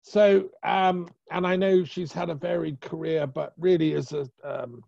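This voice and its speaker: A man hosting an online conference and introducing a speaker informally, halting and often restarting, over muffled call audio. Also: on the high side, steady tone, vocal fry, warm tone, no room sound.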